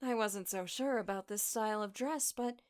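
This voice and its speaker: A woman playing a gentle, doting mother in an audio drama, tender and genteel in manner. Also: mid-range voice, moderate pace, lightly acted. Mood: affectionate.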